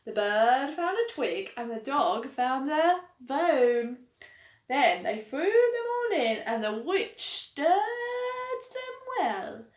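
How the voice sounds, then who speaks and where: A woman reads a rhyming children's story aloud in a high, lively voice that rises and falls with every line. She takes her time over the verses, and the room adds a noticeable echo. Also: slow. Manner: animated, sing-song rhyming delivery. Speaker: storytime reader. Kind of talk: children's picture-book read-aloud. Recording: echoey room.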